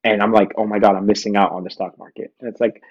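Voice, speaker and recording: Guy hosting a podcast, kinda low voice, casual slangy chat, audio a bit muffled. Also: level tone, fast. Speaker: podcast host. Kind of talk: casual conversational interview.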